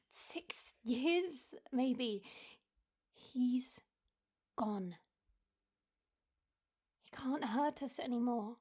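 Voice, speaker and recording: A woman playing a worried, loving partner in an audio roleplay, with a breathy voice and a gentle, soothing way of talking. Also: on the high side, exaggerated voice. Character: worried, loving female partner of a haunted assassin.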